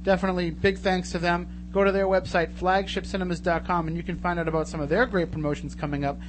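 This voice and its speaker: A man's voice: high-pitched, flat delivery, fast. An American man hosting a live talk radio show in a casual, chatty, informal way. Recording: noisy radio show audio with hum.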